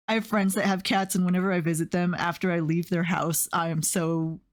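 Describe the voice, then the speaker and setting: A young woman with a fairly low voice chats on a stream. She tells loose, rambling stories and fills her sentences with little hesitations. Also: flat delivery, moderate pace. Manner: rambling storyteller with many fillers and soft laughs. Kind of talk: casual chat while drawing on stream.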